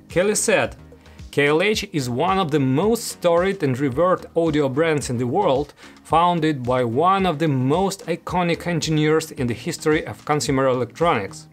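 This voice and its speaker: A man in his thirties giving a scripted product review as host, speaking to camera in an indoor room. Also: on the high side. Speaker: audio gear reviewer, host. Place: small studio room, indoors. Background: music.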